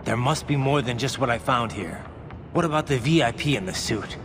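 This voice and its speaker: A man's voice: medium pitch, a little fast, over music, close to an everyday voice. An actor playing a determined, grim male cyborg soldier in a video game cutscene.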